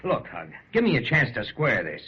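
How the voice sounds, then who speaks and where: An actor playing a hearty, good-natured big star ballplayer in an old radio drama. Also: male voice, medium pitch, wildly swooping pitch, moderate pace, breathy edge, full character voice. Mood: earnest, insistent. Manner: stammering when flustered, chuckling when pleased. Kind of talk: 1930s-set radio drama acting. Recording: dull, lo-fi old radio recording.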